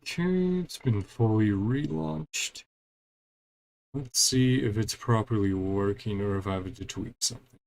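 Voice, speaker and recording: Low, creaky-voiced man streaming a game. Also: unhurried, a little mellow, rough recording. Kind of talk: casual live gameplay commentary.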